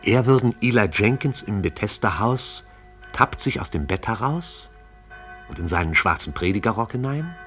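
A man giving brisk, vivid scripted narration for a radio play. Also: on the low side, expressive, a bit bright, soft background music.

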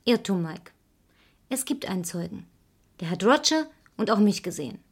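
A woman's voice: mid-range voice, sing-song, normal speed, husky. An actress playing a resolute, reproachful woman who confronts others pointedly and dramatically in a vintage German crime radio play. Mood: warning, tense.

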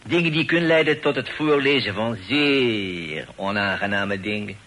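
An actor playing a sly, polite, teasing portrait painter and landlord with a French accent and halting phrasing sprinkled with French, in a Dutch radio play. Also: male voice, lowish voice, fairly bright tone, close to an everyday voice. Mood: knowing, confidential. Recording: noisy old radio play recording.

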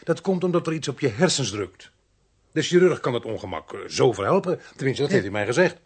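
A man's voice: mid-range voice, big pitch swings, a little fast, husky. An actor playing a dry, matter-of-fact male police inspector who questions and narrates in a radio play. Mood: matter-of-fact, coaxing. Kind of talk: Dutch radio play, dialogue and first-person narration.

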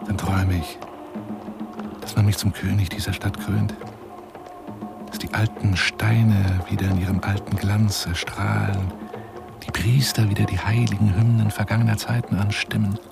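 Lonely boastful adventurer, low creaky voice, florid courtly delivery, insistent, radio play monologue. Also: male voice, level tone, moderate pace, over music. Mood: dreamy, courtly. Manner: florid, courtly, insistent, swinging between whisper and exclamation. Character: boastful lonely male romantic adventurer courting a woman by telephone.

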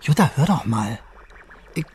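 An actor playing a gentle male carer in a radio drama, with a halting, informal manner. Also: medium pitch, expressive, husky. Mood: hesitant. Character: gentle, hesitant male carer, object of a crush.

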